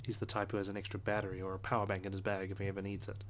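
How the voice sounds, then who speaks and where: A man reading visual novel narration aloud as a let's play host, subdued, with hushed asides. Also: sits low, monotone, racing, a little hiss or hum. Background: soft guitar music.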